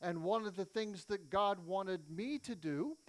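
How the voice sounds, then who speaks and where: A man who is a native English speaker preaches a sermon as a missionary. He tells the story in short phrases, leaving pauses for an interpreter. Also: sits high.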